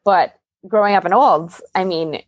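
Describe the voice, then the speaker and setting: A woman's voice: on the low side, normal speed, no echo, highs cut off. A woman with a Canadian accent chatting casually and telling personal stories as an interview guest. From Calgary, Canada. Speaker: guest, magician's assistant and performer. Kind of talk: casual interview chat, storytelling.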